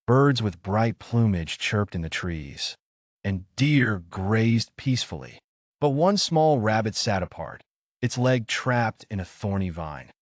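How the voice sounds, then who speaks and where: Guy narrating a bedtime fairy tale, lowish voice, really animated theatrical delivery, dry recording. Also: no echo. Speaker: storyteller. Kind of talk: scripted bedtime story narration.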